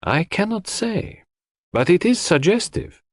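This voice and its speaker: A man's voice: high-pitched, sing-song, a little slow, dry recording, clean studio sound, pitched above the performer's own voice, heavily acted. A man voicing an elderly, quaint and self-assured foreign detective, in audiobook style. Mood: cryptic. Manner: theatrical, flippant turning grave. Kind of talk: audiobook character acting.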